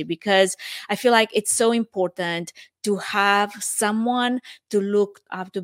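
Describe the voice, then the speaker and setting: A woman's voice: medium pitch, no echo, studio quality. A woman in her forties from Romania with a Romanian accent, hosting her own podcast and talking upbeat with frequent light laughs. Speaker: podcast host. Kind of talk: solo podcast monologue.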